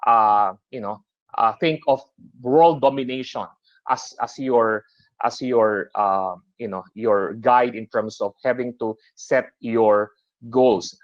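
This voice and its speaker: A man's voice: mid-range voice, takes it slowly, no echo. A man with a Filipino accent giving a business webinar presentation, talking with many fillers and restarts. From Philippines. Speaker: webinar presenter for a super app. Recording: dry, slightly dull webinar audio.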